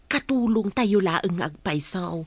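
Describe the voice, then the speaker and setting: In a dry recording, an actress plays a worried, soft-hearted mother who works as a maid. She has an Ilocano accent and a mid-pitched female voice, in radio drama style. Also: lively intonation, slow, dry recording. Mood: troubled.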